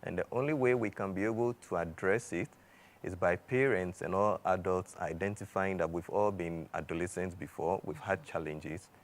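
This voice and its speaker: A man, a doctor guest speaking in a measured, reflective way. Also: low voice. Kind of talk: expert commentary on a talk show.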